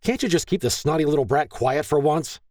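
A man's voice: on the high side, a little fast, dry recording, voice pushed up, close to an everyday voice. Cruel, volatile husband, played by a male narrator, audiobook drama. Raised, growling delivery, very dry recording. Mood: furious, contemptuous. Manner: shouting, growling, contemptuous. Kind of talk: audiobook character acting.

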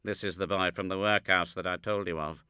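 A businesslike undertaker of middle years, as a male narrator reads him. His voice sits very low yet bright and smooth. He speaks plainly and matter-of-factly, a storybook tradesman. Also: fairly even intonation, fairly quick, dry recording, clean studio sound.

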